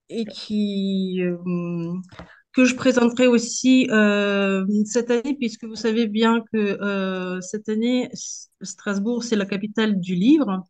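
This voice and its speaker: Slow-talking woman from Moldova, halting delivery. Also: mid-range voice, a little mellow, smooth. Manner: halting, searching for words. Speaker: guest speaker, association founder. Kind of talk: public debate interview, spontaneous speech.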